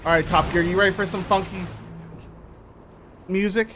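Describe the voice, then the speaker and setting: A man's voice: high voice, steady tone, moderate pace, over music. A young man co-hosting a gaming stream, trading laid-back, joking banter with his co-player. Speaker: streamer co-host. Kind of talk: casual gaming chat.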